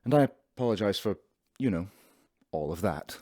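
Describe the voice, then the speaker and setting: A pompous, formal professor. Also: male voice, deep voice, lively intonation, moderate pace, mellow, dry recording, clean studio sound. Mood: stiffly apologetic. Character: haughty, self-important male professor, stiffly polite. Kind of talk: radio drama acting.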